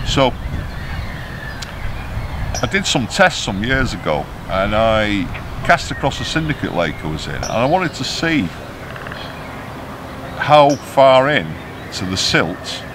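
Middle-aged northwest English angler, lowish voice, animated storyteller, noisy outdoor lapel recording. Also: male voice. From northwest England. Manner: animated storytelling with hand gestures. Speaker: carp angler. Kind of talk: casual explanation to camera. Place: outdoors by a lake under a tree.

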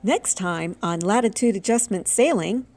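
A middle-aged woman narrating a sailing vlog in a relaxed, lively voice-over. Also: medium pitch, normal speed. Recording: voice-over with loud music behind.

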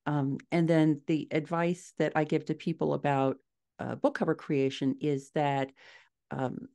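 A middle-aged woman giving measured, explanatory answers as a guest author in a conversational interview over a video call. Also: deep voice, darkish tone. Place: small furnished room at home, indoors.

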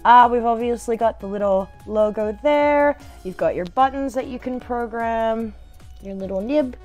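A woman's voice: fairly high pitch, normal speed, a little mellow, highs cut off, soft background music. A young woman chatting casually to camera during an unboxing, with a playful, bubbly manner and sing-song asides, recorded in a living room. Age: young adult. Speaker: product reviewer.

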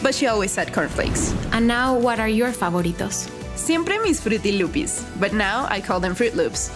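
A woman's voice: medium pitch, animated, moderate pace. A woman presenting a scripted, conversational sponsor ad, slipping between English and Spanish. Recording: music behind the voice.

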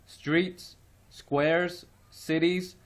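A man reading a children's textbook passage aloud slowly and evenly, like a teacher. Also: fairly high pitch, fairly even intonation. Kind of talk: read-aloud narration for children.

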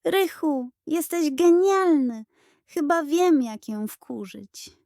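A woman's voice: high voice, slow pace, dark tone, dry recording, clean studio sound, voice pushed up. A woman voicing a mischievous, dramatic schoolboy prankster in a children's audio storybook. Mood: excited scheming. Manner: theatrical, muttering and whispering to himself.

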